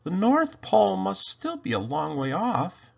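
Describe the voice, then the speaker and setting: Man putting on a high-pitched, fretful little Christmas elf. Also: wildly swooping pitch, unhurried, vocal fry, muffled recording, exaggerated voice. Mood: worried. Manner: exclaiming, fretful. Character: small anxious plucky male Christmas elf, easily alarmed.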